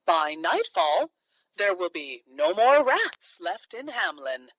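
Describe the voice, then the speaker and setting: A woman's voice: fairly low pitch, sing-song, slow, fairly bright tone, no echo, full character voice, rough recording. A woman playing a tall, aloof male piper in a children's storybook, speaking with confidence. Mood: self-assured.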